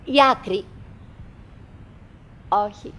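An actress playing a wistful middle-aged woman who chatters bravely to her husband in halting, theatrical radio drama monologue. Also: bright, forceful tone, natural voice. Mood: reflective, halting. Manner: halting, fragmented, dramatic, swinging between murmurs and calls.

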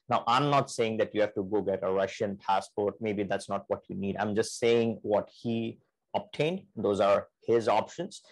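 Low-voiced man explaining to camera. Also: a little mellow, no room sound, rough recording. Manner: conversational, repeats words while thinking. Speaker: channel host, investment migration advisor. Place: indoors, virtual living-room background.

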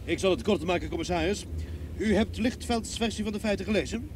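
An actor playing a self-assured, courteous private detective in a radio play, polite and persistent. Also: male voice, sits high, fairly quick, lightly acted. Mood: polite, insistent. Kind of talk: Dutch-language radio play acting. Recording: noisy old radio drama recording.

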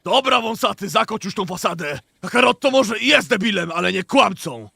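A man's voice: high-pitched, lively intonation, a little fast, vocal fry, breathy edge, dry recording, studio quality, heavily acted. A man voicing an arrogant, hot-tempered, foul-mouthed alien warrior prince in a parody anime fandub. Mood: stern. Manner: swearing, shouted ranting. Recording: music behind the voice.

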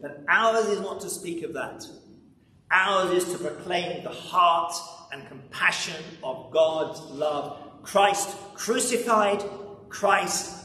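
An older man in his sixties or above preaching a sermon with emphatic delivery, dramatic pauses and rhetorical repetition. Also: high-pitched, sharp tone. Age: 60s or older. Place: large church interior at a lectern. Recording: echoey church, distant mic, background noise.

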